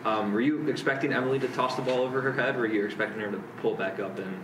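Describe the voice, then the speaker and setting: A man's voice: mid-range voice, racing. A man reporter asking long, hedging questions at a press conference, heard from a distance. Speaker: sports reporter. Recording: distant, echoey, noisy room mic.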